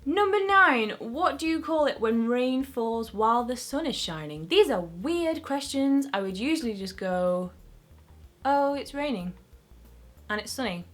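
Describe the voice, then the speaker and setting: A woman's voice: fairly high pitch, animated, a little slow. A young woman with a Northern English accent, chatting casually to camera as a beauty vlogger in a rambling, self-mocking way.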